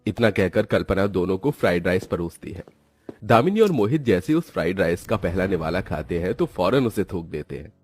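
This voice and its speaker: A man's voice: deep voice, lively intonation, brisk, darkish tone, breathy edge, faint music behind. A man reading scripted storybook narration for a cartoon.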